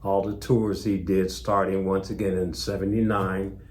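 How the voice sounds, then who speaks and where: An older man reminiscing as an interview guest in a measured, modest, hesitant way. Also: sits low, flat delivery, darkish tone. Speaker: interview guest, tour manager. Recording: faint background noise.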